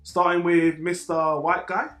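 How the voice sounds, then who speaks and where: A man's voice: sits high, level tone, unhurried, a bit bright. A man hosting a casual group film discussion. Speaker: host of a group film review. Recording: roomy echo, music behind.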